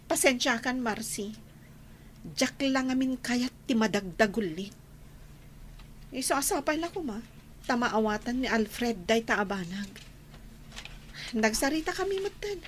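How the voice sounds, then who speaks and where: A woman's voice: on the high side, lively intonation, measured pace, pauses often, a little hiss or hum. An actress playing a firm young college woman in a radio drama, speaking with a Filipino accent. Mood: frustrated, pleading. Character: Emma, firm young college woman refusing an old love. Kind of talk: Ilocano radio drama acting.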